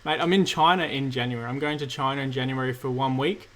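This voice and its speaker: Aussie guy in his 30s, bit croaky, rambly live q&a host. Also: medium pitch, fast. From Australia. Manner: relaxed and rambling, many fillers. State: croaky, recovering from illness. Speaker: cybersecurity streamer host. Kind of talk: live-stream Q&A, reading chat questions.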